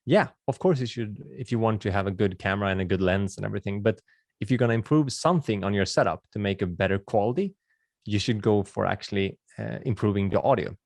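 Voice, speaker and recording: Low, gravelly Swedish-accented man. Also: quick pace, a little mellow, dry recording. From Sweden. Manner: friendly and chatty, with frequent restarts and filler words. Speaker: videographer hosting a webinar. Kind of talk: casual webinar talk answering viewer questions.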